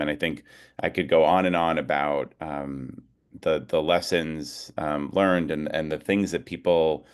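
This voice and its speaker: A man speaking informally as an advocacy leader on a video call, reflective and hesitant, pausing often as he gathers his thoughts. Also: a bass voice, smooth. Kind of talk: informal talk at an online rally.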